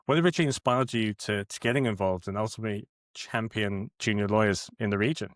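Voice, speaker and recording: Guy hosting a legal podcast, bit low voice, dry close sound, some ums and restarts. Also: fast talker, dry recording. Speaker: podcast host. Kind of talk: podcast interview.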